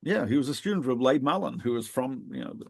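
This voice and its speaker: Man, middle-aged, from Australia, podcast co-host, animated storyteller, mid-pitched voice. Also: fast talker, gravelly, breathy edge. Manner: animated storyteller, laughs at his own anecdotes. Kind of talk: casual reminiscing conversation.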